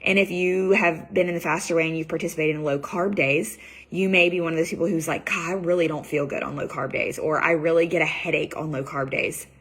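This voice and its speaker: Husky-voiced woman with a cold. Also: low voice, steady tone, a little fast, fairly bright tone. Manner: chatty, loose, many fillers and "like"s. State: has a cold, losing her voice. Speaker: fitness and nutrition coach. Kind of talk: casual live training talk.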